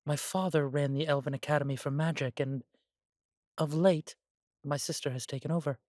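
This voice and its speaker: A man playing a warm, humble elf brother in a scripted fantasy audio roleplay, speaking in measured, slightly formal phrases. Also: a little high, steady tone, dry recording, clean studio sound, close to an everyday voice. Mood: fond, reflective. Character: warm, humble elf brother without magic, devoted to family.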